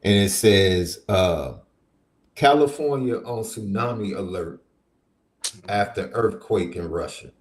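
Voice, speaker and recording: Male podcast host, congested from a cold, lively and quick to laugh, recorded in a roomy space. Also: fairly low pitch. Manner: animated, laughs often, slows for emphasis. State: stuffy from a summer cold. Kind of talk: casual sports podcast chat. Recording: roomy echo.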